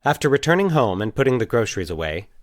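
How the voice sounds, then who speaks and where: A man's voice: medium pitch, very animated, fast talker. A man reading scripted fiction as the narrator of a story.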